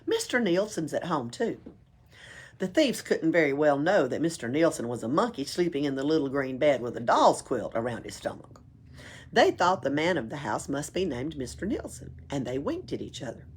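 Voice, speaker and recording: A woman's voice: deep voice, big pitch swings, brisk, breathy edge. A woman reading a children's book aloud expressively, as at a library storytime.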